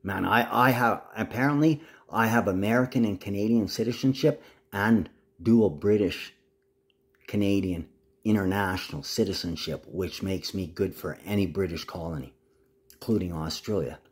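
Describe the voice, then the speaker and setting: A man's voice: fairly low pitch, moderate pace. A man talking casually to the camera in a rambling, agitated monologue full of swearing.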